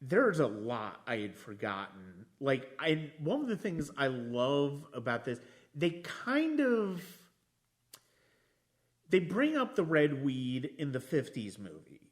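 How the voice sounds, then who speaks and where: A man hosting a podcast, talking casually about films in a reflective, halting way with frequent restarts. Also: mid-range voice, sing-song. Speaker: podcast host. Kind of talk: casual film discussion.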